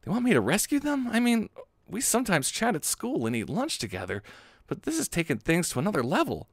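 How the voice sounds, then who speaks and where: A man voicing a reluctant, aloof junior high school boy. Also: wildly swooping pitch, a little fast, no room sound, studio quality, heavily acted.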